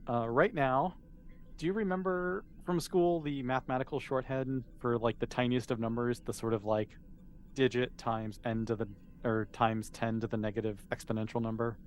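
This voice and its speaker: A man's voice: medium pitch, fairly even intonation, moderate pace. A man running a tabletop game as game master, explaining things in a patient, conversational, improvised way. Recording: clearly noisy background.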